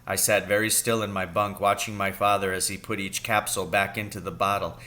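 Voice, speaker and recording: A man reading a children's story aloud as an expressive narrator. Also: lowish voice, a little fast. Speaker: story reader.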